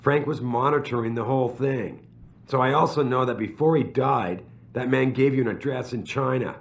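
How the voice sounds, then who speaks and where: A stern male corporate boss , played by a man, for a dramatic video game. His voice sits at a middle pitch. He sounds guarded and authoritative, pressing his case with firm, idealistic conviction. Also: normal speed, gravelly, natural voice. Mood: insistent. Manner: firm, defensive, persuasive.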